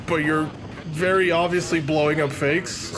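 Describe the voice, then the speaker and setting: A man's voice: a little high, fairly even intonation, normal speed, noisy background. A man giving casual, joking gaming commentary in a sarcastic, sweary way. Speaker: let's play co-host. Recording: voice over loud game music. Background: game music and sound effects.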